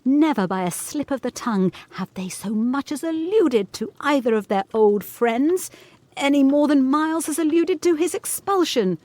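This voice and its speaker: A woman playing an anxious, probing young governess in a gothic audio drama, earnest, measured and intense. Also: high-pitched, animated, a little mellow, no echo. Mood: obsessive conviction.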